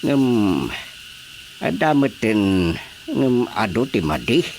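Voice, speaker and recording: Filipino villager, wry and jokey, really animated pitch, radio comedy, faint noise. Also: male voice, takes it slowly. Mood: amused. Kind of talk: radio drama comedy acting.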